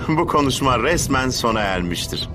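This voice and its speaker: A man's voice: mid-range voice, sing-song, normal speed, over music. A man playing a haughty, scheming, mock-polite boss of an evil organization in a dubbed anime. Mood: smug, mocking. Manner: smug, mock-polite, commanding.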